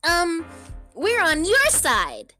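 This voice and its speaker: A woman's voice: very high voice, wildly swooping pitch, extremely slow, pushed and bright, faint music behind, full character voice. A woman voicing a perky, pushy teenage girl from a courtroom game in a live fan dub. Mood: eager, coaxing.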